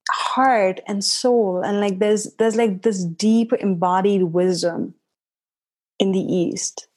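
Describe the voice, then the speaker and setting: Slow, reflective woman from India. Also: mid-range voice, darkish tone. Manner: reflective and unhurried, full of "like", laughs easily. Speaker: podcast guest, healing facilitator. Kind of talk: casual podcast conversation.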